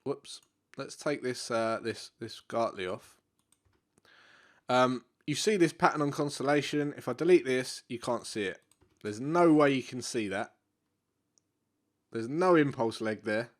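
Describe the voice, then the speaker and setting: Guy going over forex charts, stuffy blocked nose, rambly with lots of ums, mid-pitched voice. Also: unhurried, long pauses. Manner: relaxed, repeats himself, many fillers. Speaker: forex trading educator. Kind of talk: live market analysis walkthrough.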